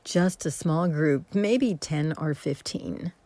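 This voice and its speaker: An actress playing a weary, long-suffering magician's assistant in a radio mystery play, frank and reproachful. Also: female voice, low-pitched, slow, mellow, no room sound. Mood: flat, weary.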